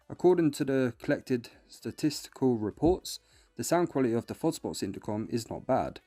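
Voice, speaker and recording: Mellow male product review narrator over music. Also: medium pitch. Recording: music bed behind voice.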